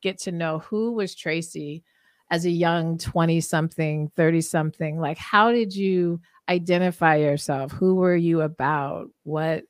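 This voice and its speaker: Middle-aged woman host, slightly low pitch, dry sound, warm chatty interviewer. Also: a little slow, dry recording. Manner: chatty, many fillers and affirming murmurs. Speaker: podcast host. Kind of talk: casual interview conversation.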